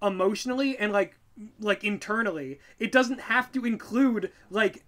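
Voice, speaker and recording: A man's voice: sits high, moderate pace, fairly bright tone. A man co-hosting a casual reaction commentary in an exasperated, sarcastic and sweary way. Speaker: co-host, writer.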